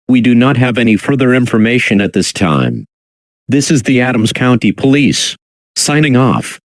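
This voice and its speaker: Man, emergency broadcast announcer, scripted, measured official delivery, medium pitch, dry close sound. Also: animated, dry recording.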